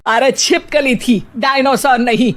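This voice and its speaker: A woman voicing a sharp-tongued, bossy middle-aged mother-in-law in a cartoon, with a scolding, sarcastic manner. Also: high voice, a little slow.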